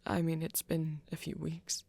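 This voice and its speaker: Husky, low-key vampire lover, acted out, half-whispering. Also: completely flat delivery, darkish tone. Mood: tender, reassuring. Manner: hesitant, halting, breathy and intimate. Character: flirty, earnest vampire confessing love, soft-spoken. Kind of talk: ASMR romance audio roleplay.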